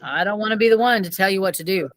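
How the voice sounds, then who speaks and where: A woman's voice: medium pitch, rapid-fire, a little hiss or hum. Female teacher, mid-range voice, reading children's book dialogue aloud, slightly off-mic. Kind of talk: read-aloud storybook dialogue.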